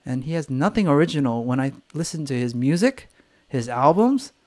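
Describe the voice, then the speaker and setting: A man's voice: medium pitch, lively intonation, moderate pace, darkish tone. Candid, swearing man on a livestream. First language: English. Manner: candid, swears freely, acts out voices of others. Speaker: singer-songwriter. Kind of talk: live stream chat.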